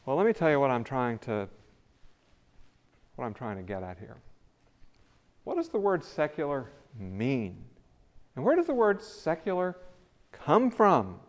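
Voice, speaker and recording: A man's voice: mid-range voice, wildly swooping pitch, measured pace, long pauses. An older man giving a conversational lecture in a church sanctuary, with a big voice and rising emphasis on key words. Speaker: visiting scholar lecturer. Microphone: podium mic, then away from mic.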